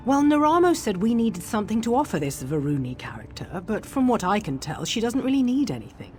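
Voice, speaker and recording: A woman's voice playing a wry young dark elf explorer, speaking casually in scripted game dialogue. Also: medium pitch, big pitch swings, a little fast, soft background music, natural voice.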